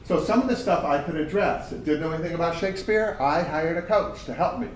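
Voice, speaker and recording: A man with a big voice teaches a job search workshop in a plain, conversational way, filling his points with examples from his own working life. He is recorded from well off the mic, so the sound is roomy and distant. A faint steady hum sits underneath. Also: a little high, fast talker. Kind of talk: workshop talk to job seekers.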